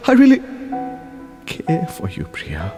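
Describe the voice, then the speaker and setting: An actor playing a young man from India with an Indian English accent, swinging between outbursts and hushed pleading in an audio drama. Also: on the high side, huge pitch swings, takes it slowly, over music, heavily acted. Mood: remorseful. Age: young adult. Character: young man Raj, pleading with old college love. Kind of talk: amateur audio drama acting.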